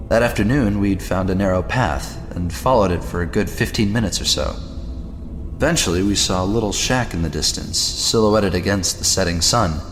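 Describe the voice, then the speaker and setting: A man's voice: deep voice, close to an everyday voice. A man playing a weary, cynical narrator who confides a boyhood horror story in a resigned, conversational audio drama read. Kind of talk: scripted first-person audio horror narration. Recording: long reverb, music behind.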